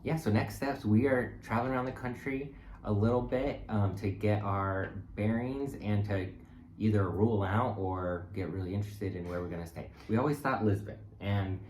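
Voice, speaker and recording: A man co-hosting a travel vlog, chatting casually in a relaxed, halting way with many fillers. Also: on the low side. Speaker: vlog co-host. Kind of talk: casual chat. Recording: echoey room with faint noise.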